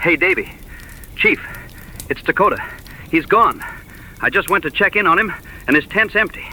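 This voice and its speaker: A man's voice: high-pitched, animated, moderate pace, pushed and bright, heavily acted, poor audio. A man playing a worried backwoods frontiersman, the hero's companion, in an audio drama, with folksy rural phrasing. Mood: alarmed.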